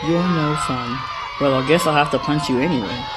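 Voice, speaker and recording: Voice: medium pitch, fairly quick, over loud music, close to an everyday voice. A young amateur actor playing a cheeky, pugnacious walking punch bowl in a school radio play. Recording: noisy recording with crowd behind.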